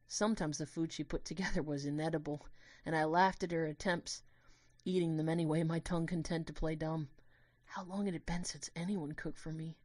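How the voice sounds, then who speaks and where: A woman playing a lovestruck, guilt-ridden young student, earnestly narrating an audio drama in the first person with expressive storytelling. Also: deep voice, no room sound, close to an everyday voice. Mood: fond.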